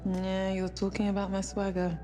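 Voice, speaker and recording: An actress playing a confident but quietly grieving young woman astronaut, soft-spoken and understated in a naturalistic game scene. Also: mid-range voice, monotone, moderate pace, music in the background, lightly acted. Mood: casual, dry. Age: young adult. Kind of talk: naturalistic cinematic game dialogue.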